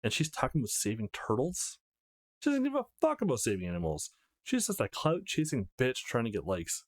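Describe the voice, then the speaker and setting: A man's voice: medium pitch, wildly swooping pitch, fairly quick, dry recording, studio quality. Man reading as a brash, feisty teenage girl. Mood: scornful. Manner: brash, slangy, confrontational. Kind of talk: acted visual novel read-aloud.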